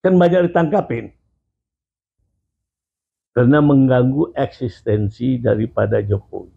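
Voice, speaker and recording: Slow, gravelly man on a tinny line. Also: medium pitch. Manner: slow, measured opinions. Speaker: guest commentator. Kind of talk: casual interview answers. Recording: tinny phone-like audio.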